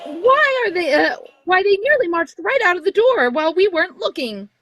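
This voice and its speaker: A woman's voice: extremely high pitch, very animated, normal speed, a bit bright, faint music behind, full character voice. A woman playing a bustling Mrs. Santa. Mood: fussing. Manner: bustling, motherly scolding. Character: fussing older Mrs. Santa. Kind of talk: live reading of an old-time radio play.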